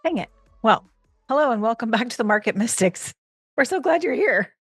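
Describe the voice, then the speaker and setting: A woman's voice: a little high, lively intonation, brisk, a bit bright, slightly rough, no echo, clean studio sound, soft background music. A woman co-hosting a podcast in casual chat, playful and teasing, laughing easily. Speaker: podcast co-host.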